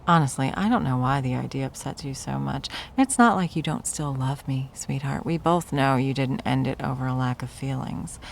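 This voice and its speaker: A woman playing a possessive, coldly menacing yet cloyingly sweet corporate ex-girlfriend in an intimate ASMR roleplay, taunting with drawn-out endearments and breathy whispers. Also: very deep voice, slight background noise. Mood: smug, insistent.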